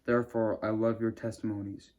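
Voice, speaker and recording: A man's voice: on the low side, level tone, brisk, darkish tone, lo-fi sound. A man reading verses of scripture aloud. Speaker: Bible reader.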